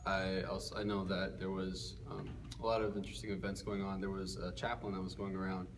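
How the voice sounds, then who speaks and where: A young man answering interview questions hesitantly, often unsure and filling pauses. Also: low-pitched, flat delivery. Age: young adult. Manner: hesitant, uncertain, many fillers. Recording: distant mic with clear background noise.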